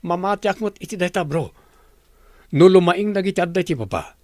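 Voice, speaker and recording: A man's voice: high voice, animated, fairly quick, a little mellow, no echo. An actor playing a stern, demanding company boss and father in a radio drama, with an Ilocano Filipino accent. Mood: businesslike.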